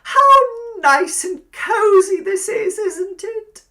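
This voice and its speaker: Man putting on a cooing, high-swooping, doting landlady. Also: expressive, bright, forceful tone, full character voice. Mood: cosy, cooing. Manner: fussy, gushing, drawn-out emphasis. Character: sweet, cooing, eerily doting middle-aged landlady. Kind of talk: audiobook character acting.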